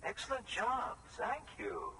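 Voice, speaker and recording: An actor playing a wary, courteous survivalist recluse in a video game, speaking in a measured, formal manner. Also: male voice, high-pitched, huge pitch swings, distant mic, background noise, heavily acted. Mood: grateful. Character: wary male prepper recluse, courteous but mistrustful. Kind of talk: video game cutscene acting.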